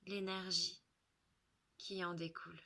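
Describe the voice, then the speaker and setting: Slow, bright-voiced woman guiding relaxation. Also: on the low side, little pitch movement. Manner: soothing, unhurried, with long pauses. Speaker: yoga relaxation guide. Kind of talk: guided relaxation meditation.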